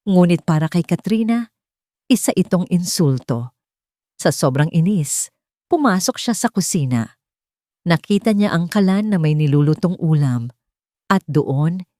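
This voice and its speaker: A woman storyteller with a fairly low voice delivers scripted drama narration. Her phrasing is deliberate and dramatic. The sound is close and dry. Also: warm tone, dry recording.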